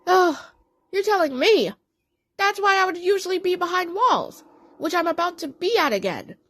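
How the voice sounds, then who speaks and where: A woman's voice: very high-pitched, lively intonation, moderate pace, fairly bright tone, voice pushed up. A woman voicing a private, huffy cartoon rat-cat creature, indignant and sarcastic, in an audio play.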